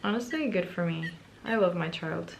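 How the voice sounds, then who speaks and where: A woman in her twenties chatting casually to camera in a book vlog. Her voice is raspy and hoarse from allergies, and she is chatty, excitable and self-mocking. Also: fairly low pitch, moderate pace, a little hiss or hum, low-quality recording. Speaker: book vlogger.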